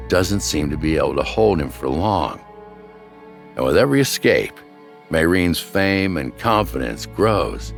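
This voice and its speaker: A man with an extremely low voice narrates scripted true crime. His delivery is dramatic and suspenseful, swinging expressively up and down with a gravelly creak. He sounds like the storyteller of a crime documentary. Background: background music.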